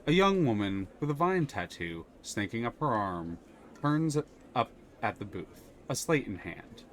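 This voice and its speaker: A man narrates the story text of a game during a live stream. His voice is bright and forceful, and his pitch rises and falls a great deal. He slips on a word now and then and fixes it on the fly. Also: measured pace, a little hiss or hum. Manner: animated reading, corrects himself.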